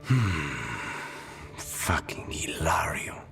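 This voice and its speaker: A man acting a weary, loyal assassin leader in a video game, speaking in a hushed, dry, understated way. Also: very deep voice, expressive, very slow, in a whisper, over music, exaggerated voice. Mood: weary, resolute.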